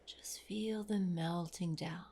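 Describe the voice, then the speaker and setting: A woman leading a guided meditation in a soft, soothing, breathy voice with gentle pauses. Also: lowish voice, steady tone. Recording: voice over clear wave sounds. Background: ocean waves.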